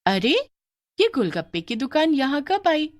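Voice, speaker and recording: A woman voicing a cheerful schoolgirl who loves street snacks, bubbly and excited. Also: sits high, measured pace, dry recording, studio quality, pitched above the performer's own voice. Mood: curious.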